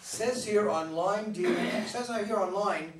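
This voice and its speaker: A man's voice: high voice, slow. A man acting a wry, needling know-it-all son in his twenties in a staged comedy reading. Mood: amused. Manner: dry, needling. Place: echoey room, mic away from performers. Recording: roomy distant stage recording, faint noise.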